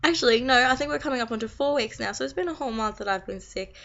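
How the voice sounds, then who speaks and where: A young Australian woman with a croaky voice from being sick, chatting to camera in a tired, rambling, venting way. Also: fairly high pitch, rapid-fire, a bit bright. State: croaky from a long cold. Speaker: vlogger. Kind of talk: casual chat to camera. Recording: slightly dull lo-fi recording.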